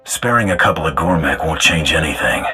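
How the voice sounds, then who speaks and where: A ruthless gun for hire in a game drama. His extremely deep voice is rough and forceful, with curt, threatening delivery. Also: lively intonation, fairly quick, soft background music, full character voice. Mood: menacing. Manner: terse, blunt, threatening. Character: cold, menacing male bounty hunter for hire. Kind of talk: dramatic video game voice acting.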